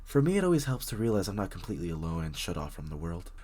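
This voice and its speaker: Guy playing a shy friendly writer dude for an audio roleplay, lowish husky voice, stammers a bit, pitch goes up and down a lot. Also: sing-song, very fast, darkish tone, full character voice. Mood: chatty, friendly. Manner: hesitant, stammering, nervous little laughs. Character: very shy, friendly young writer in a coffee shop. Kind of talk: intimate one-sided roleplay acting, casual chat.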